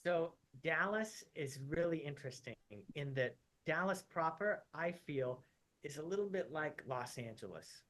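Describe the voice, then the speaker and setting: A man in his thirties from Utah, a surgeon answering interview questions in a relaxed, chatty way, saying "like" often. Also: on the high side, a little slow. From Utah, USA. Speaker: craniofacial surgeon, interview guest.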